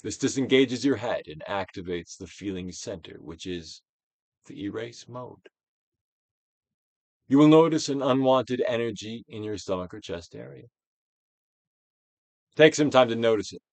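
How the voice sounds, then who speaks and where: Theatrical man reading, widely swinging pitch. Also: animated, gravelly, darkish tone, no echo. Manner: theatrical, deliberate, with pauses and big swells. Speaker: audiobook reader and actor. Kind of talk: dramatic reading of a self-help book as guided exercise.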